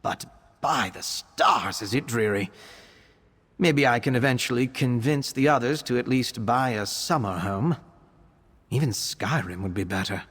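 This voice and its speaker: A bookish young male vampire scholar speaks in a husky voice that rises and falls freely. He is courteous, earnest and precise, with formal wording suited to a fantasy game. A long reverberant tail follows his words. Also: mid-range voice, lively intonation, measured pace, a bit bright. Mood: wistful, wry. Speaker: game character, arcane investigator. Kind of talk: scripted game character monologue.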